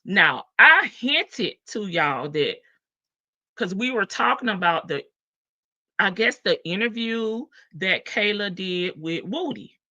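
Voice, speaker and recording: A woman's voice: medium pitch, big pitch swings, slow, pushed and bright, dull audio. A woman hosting a livestream, chatting playfully about a court case, laughing often and greeting viewers by name. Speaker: livestream host. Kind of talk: casual gossip commentary on a court case.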